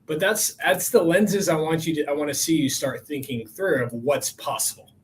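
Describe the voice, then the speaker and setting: A man's voice: a little high, normal speed, slight background noise. A man in his forties hosting a coaching podcast, talking in an emphatic, motivational way. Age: 40s. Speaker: podcast host.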